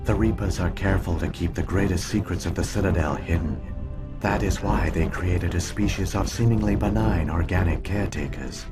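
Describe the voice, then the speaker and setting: An actor playing an ancient damaged alien computer program in a video game, calm, formal and grave. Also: male voice, low voice, music in the background. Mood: solemn, calm. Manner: measured, formal, grave exposition. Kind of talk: scripted video game dialogue.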